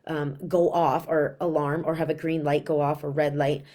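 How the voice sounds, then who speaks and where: A woman in her thirties who is losing her voice, so she sounds raspy and hoarse. She speaks low and mellow, chatting frankly to camera as a sleep consultant with parenting tips and lots of asides. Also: flat delivery, normal speed.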